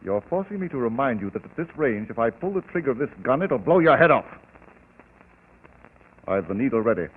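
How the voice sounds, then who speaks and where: A cold, menacing killer doctor. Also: male voice, medium pitch, big pitch swings. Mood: coldly menacing. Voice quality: smooth, menacing, deliberate. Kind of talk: 1940s radio acting. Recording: tinny old radio broadcast with faint hiss.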